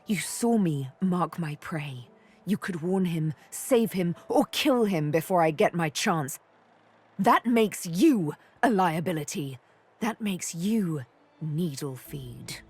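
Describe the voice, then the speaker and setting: A woman voicing a sly elf rogue in a video game, playful and flirtatious with a theatrical, threatening edge. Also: on the low side. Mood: cold, menacing. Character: sly elf woman rogue, former slave, teasing and menacing. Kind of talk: video game character acting.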